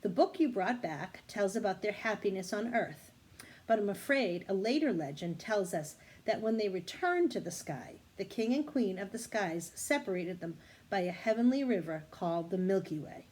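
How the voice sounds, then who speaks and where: A woman voicing a kindly, wise female mentor in a storybook, speaking in a measured, reassuring, explanatory way. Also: mid-range voice, lively intonation, darkish tone, slightly rough. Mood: explaining.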